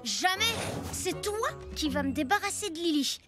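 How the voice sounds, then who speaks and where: A woman voicing an earnest, excitable and easily flustered young boy in a children's cartoon. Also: very high voice, moderate pace. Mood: scheming. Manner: hesitant, pleading, excitable. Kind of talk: French animated children's cartoon dubbing. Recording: music under the voice.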